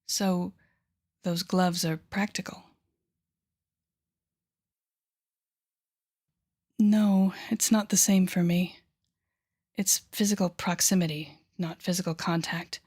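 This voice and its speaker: A woman playing an intense, coaxing female telepathic villain with a secretly tender side in an intimate audio roleplay, speaking softly and often whispering with deliberate dramatic pauses. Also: on the low side, breathy edge. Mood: probing, insistent. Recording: background music under the voice.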